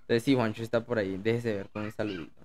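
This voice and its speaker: A man's voice: on the low side, fairly even intonation, fast talker, a little mellow. A Colombian man co-hosting a podcast, chatting casually with a teasing, colloquial manner. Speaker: podcast co-host. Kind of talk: casual chat.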